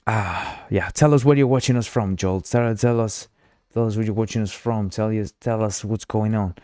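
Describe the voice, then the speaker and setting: A man with a Uruguayan Spanish accent hosts a casual live coding stream, rambling, repeating words and reading viewer messages aloud. His voice sits a little low and is heard dry and close, with no room sound. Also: steady tone, normal speed, mellow, no echo. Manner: rambling, repeats himself, reads chat aloud; drinking while streaming. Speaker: livestream host.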